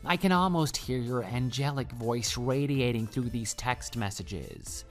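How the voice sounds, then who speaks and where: A man's voice: mid-range voice, animated, measured pace. An actor playing a clingy, lovestruck male coworker in a radio drama, with a flowery, gushing manner that turns stammering when flustered. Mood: gushing, lovesick. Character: clingy, lovestruck male office coworker who can't take hints. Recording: voice over background music.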